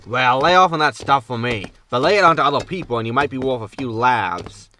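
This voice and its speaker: A man's voice: medium pitch, animated, a little fast, bright, forceful tone, distant mic, slight background noise. An actor playing a grumpy, sarcastic male spaceship captain in a sci-fi comedy audio drama, with a dry manner. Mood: resigned, dry. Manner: dry, sardonic.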